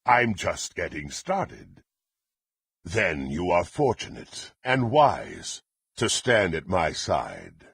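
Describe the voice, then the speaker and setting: An actor playing a power-hungry, contemptuous dark warrior in a fantasy video game, with a gravelly, husky voice and haughty, theatrical, archaic phrasing. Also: male voice, deep voice, wildly swooping pitch, slow, dry recording, clean studio sound, heavily acted. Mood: smug, haughty.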